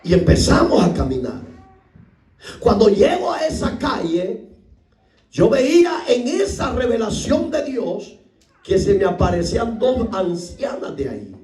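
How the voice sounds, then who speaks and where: A middle-aged Salvadoran pastor tells his story from the pulpit with a high, animated voice that leaps up and down, acting out each character and breaking into shouts. He sounds set back from the microphone, and the church hall around him echoes. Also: a little slow, far from the mic. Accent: Salvadoran Spanish. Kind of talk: sermon. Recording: echoey room, voice from off the mic.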